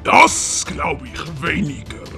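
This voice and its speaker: A woman acts out a gloating male storybook villain. The voice is slow, creaky and hard-edged, with theatrical menace, over faint music. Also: sits low, very animated, a bit bright, voice pushed down, full character voice.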